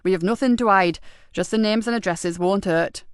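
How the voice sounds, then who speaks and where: A woman's voice: mid-range voice, moderate pace, clean tone, dry recording, studio quality, close to an everyday voice. A woman voicing a mild, absent-minded middle-aged man who talks plainly and openly. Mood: easygoing.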